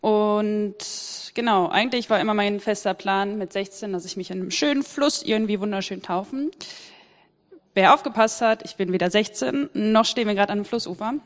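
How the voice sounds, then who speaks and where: A woman's voice: medium pitch, fairly quick. A woman of twenty giving a fluent, lightly humorous personal testimony in church. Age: 20s. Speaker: student and baptism candidate. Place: church hall. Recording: reverberant church hall.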